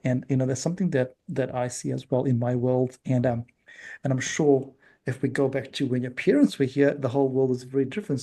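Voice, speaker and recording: A man's voice: medium pitch, dark tone. A man hosting a podcast, interviewing in an informal, conversational and affirming way. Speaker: podcast host.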